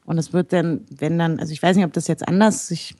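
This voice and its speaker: A woman's voice: deep voice, fairly even intonation, brisk, warm tone. A woman talking in a casual discussion, rambling and searching for words, frank about her thoughts.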